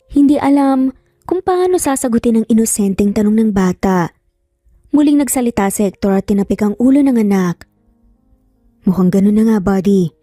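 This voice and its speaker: Mellow, higher-pitched woman narrator. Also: no room sound, studio quality. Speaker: story narrator. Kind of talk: scripted dramatic narration.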